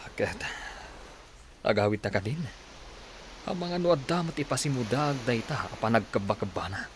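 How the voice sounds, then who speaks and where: A man plays a concerned companion in a radio-style drama. His voice sits at a medium male pitch, with clear ambient noise around it. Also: expressive, normal speed, close to an everyday voice. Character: concerned man urging an ailing companion home. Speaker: actor in an audio drama. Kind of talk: Ilocano radio drama acting. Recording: clearly noisy background ambience.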